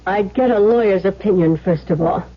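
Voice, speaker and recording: A woman's voice: mid-range voice, a little fast, lightly acted. An actress playing an embattled wife and protective mother, speaking with tense, clipped defiance in old-time radio drama style. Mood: cold defiance. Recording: muffled lo-fi old radio recording.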